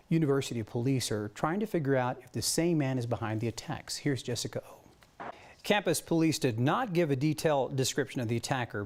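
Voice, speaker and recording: A man's voice: medium pitch, darkish tone. A man with an American accent anchoring the news, moving between broadcast delivery and light studio banter.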